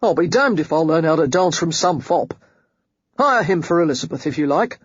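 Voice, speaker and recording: A woman reading the part of a brash, self-made rich man who speaks bluntly and forcefully in short, clipped lines. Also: sits low, animated, moderate pace, husky, no echo, highs cut off.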